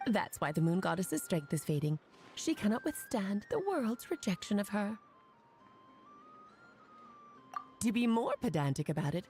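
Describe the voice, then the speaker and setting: Pedantic female scholar: highish, very animated, wry lecturing, game dialogue over music. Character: pedantic, sly female scholar explaining divine lore. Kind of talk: video game voice acting. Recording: music behind the voice.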